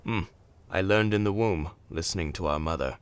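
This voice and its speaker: A man's voice: deep voice, normal speed, clear, resonant tone, close to an everyday voice. A man playing a scornful, cunning, very old long-lost brother in a theatrical comic dub, with dry, exasperated menace. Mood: cold, reminiscing.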